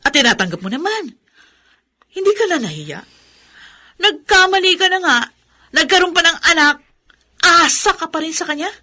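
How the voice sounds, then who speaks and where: A Filipino actress playing a resentful, sharp-tongued older sister in a radio drama, scornful and accusing. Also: female voice, very high voice, very animated, normal speed, long pauses, exaggerated voice.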